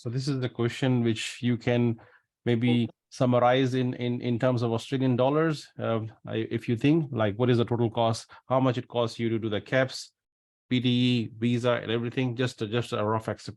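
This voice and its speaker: A man's voice: medium pitch, a little mellow. A man hosting an informal live interview, asking questions and summing up, often repeating his words in agreement. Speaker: interview host.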